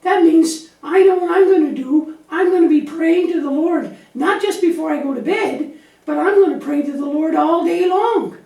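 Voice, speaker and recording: An echoey, distant recording of a tiny lamb puppet with an extremely high voice. The little creature is naive and anxious, chattering earnestly and repeating itself as in a children's puppet show. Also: female voice, moderate pace, dark tone. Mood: relieved, earnest. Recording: echoey room, distant microphone.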